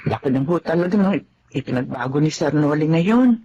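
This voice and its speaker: A woman's voice: low-pitched, animated, fairly quick, dark tone, a little hiss or hum. A meek, anxious middle-aged housemaid, played by a woman, pleading with her employers in a dramatic audio story. Her voice rises and falls widely. The recording sounds dull and lo-fi. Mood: worried. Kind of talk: radio drama acting.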